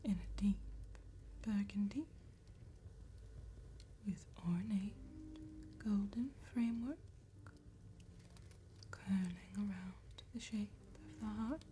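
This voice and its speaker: A woman playing an attentive, courteous vampire tattoo artist in a slow, breathy ASMR whisper with deliberate pauses. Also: mid-range voice, a little hiss or hum, close to an everyday voice. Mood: calm, attentive. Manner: slow, deliberate, gentle phrasing with long pauses.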